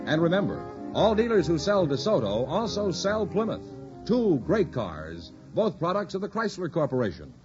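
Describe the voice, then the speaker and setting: A man's voice: on the high side, wildly swooping pitch, moderate pace, over music. An American man announcing on 1950s radio, reading scripted sponsor messages with a polished, emphatic sales delivery. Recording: dull lo-fi vintage radio recording.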